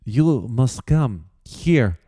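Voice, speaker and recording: A man voicing a young foreign woman who speaks softly yet firmly in an audiobook reading. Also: medium pitch, big pitch swings, no echo, studio quality, full character voice. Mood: firm caring. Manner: slow, soft yet firm.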